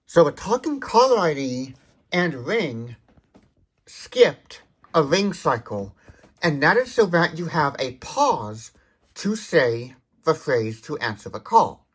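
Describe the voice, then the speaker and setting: A man's voice: a little high, sing-song, slow, husky. A man hosting a tech demonstration, explaining details methodically. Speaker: tech demonstrator, video host.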